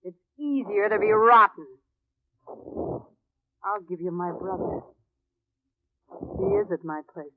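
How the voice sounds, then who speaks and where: A woman's voice: mid-range voice, animated, takes it slowly, long pauses. An actress playing an anxious, defensive, bitter young woman in trouble in a 1950s radio drama, with an intense, melodramatic delivery. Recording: tinny, noisy old radio recording with clicks.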